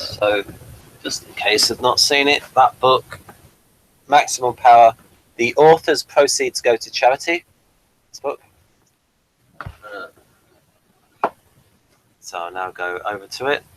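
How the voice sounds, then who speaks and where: Halting man hosting a review chat. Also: on the low side, fairly bright tone, low-quality recording. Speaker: review show host. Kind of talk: casual TV review discussion.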